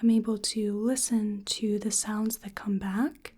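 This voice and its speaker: Soft whispery lady doing an asmr doctor exam roleplay, really slow, mellow and breathy. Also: medium pitch, level tone, a little hiss or hum. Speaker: ASMR performer role-playing a medical examiner. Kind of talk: soft-spoken ASMR medical exam role-play.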